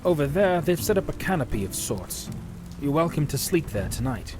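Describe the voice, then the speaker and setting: Man voicing a high, boyish, formal young knight. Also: big pitch swings, normal speed. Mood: grateful, polite. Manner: formal, courteous. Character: earnest, mannerly small young male knight commander. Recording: loud music under the voice.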